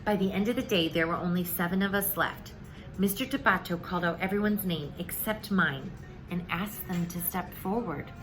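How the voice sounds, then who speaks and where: A woman reading a children's story aloud in an expressive way, acting out the dialogue. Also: lowish voice, normal speed, music in the background. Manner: animated, acts out the dialogue. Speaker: teacher reading a picture book aloud.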